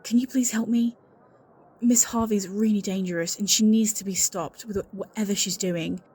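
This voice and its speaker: A woman's voice: mid-range voice, steady tone, moderate pace, darkish tone, breathy edge. A woman playing an anxious, suspicious secretary in an audio roleplay, speaking in an earnest, confiding and insistent way. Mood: pleading. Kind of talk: scripted audio roleplay acting, one-sided conversation.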